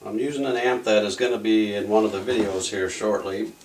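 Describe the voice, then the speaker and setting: A man with a low-pitched voice talks through a hands-on repair in a casual, folksy way. He rambles a little as he thinks aloud. The mic sits some distance from him, with faint noise behind. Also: steady tone, a little fast. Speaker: electronics repair hobbyist demonstrating a fix. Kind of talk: casual off-the-cuff repair narration.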